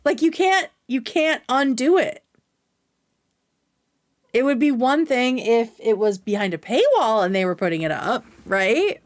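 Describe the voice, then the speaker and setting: A woman's voice: on the high side, very animated, measured pace. Blunt American woman livestreamer. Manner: blunt, sweary, conversational. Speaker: live stream host reacting to clips. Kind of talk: casual opinionated livestream commentary.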